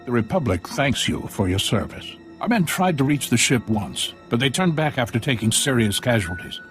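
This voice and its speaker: An actor playing a harried, deferential outpost commander in a sci-fi video game, obliging and plainspoken. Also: male voice, medium pitch, big pitch swings, moderate pace, soft background music, lightly acted. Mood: deferential, worried. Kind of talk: video game dialogue acting.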